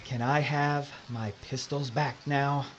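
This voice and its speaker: A man's voice: mid-range voice, fairly even intonation, slow, lo-fi sound, close to an everyday voice. A man playing a reluctant gunfighter who calls himself a regular guy, with a nervous, folksy drawl. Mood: weary. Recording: noisy audio drama recording.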